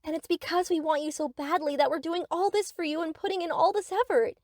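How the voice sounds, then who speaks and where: A woman's voice: very high-pitched, fairly even intonation, fast talker, a little mellow, no echo. A woman playing a sweet, eager, obsessive young yandere best friend in an anime-style audio roleplay, gushing and theatrical.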